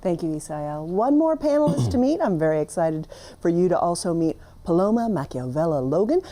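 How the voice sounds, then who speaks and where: Lady host running a tv panel, mellow voice, pitch all over the place, upbeat. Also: sing-song, fairly quick. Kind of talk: moderating a panel discussion.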